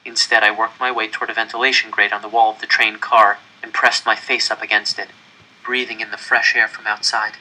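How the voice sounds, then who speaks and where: A man reading a first-person novel aloud as a dramatic, deliberate and expressive narrator. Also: lowish voice, poor audio. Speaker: audiobook-style reader.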